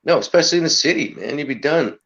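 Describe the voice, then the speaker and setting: Raspy man preaching in a casual chat. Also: on the high side, expressive, fast, fairly bright tone, lo-fi sound. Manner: earnest, rambling, preachy about energy and respect. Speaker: livestream host.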